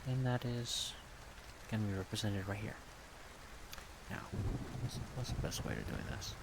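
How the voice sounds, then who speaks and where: A man rambling in a soft-spoken ASMR style, unscripted, thinking aloud in halting short phrases. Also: lowish voice, big pitch swings, vocal fry, very noisy. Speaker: ASMR video creator.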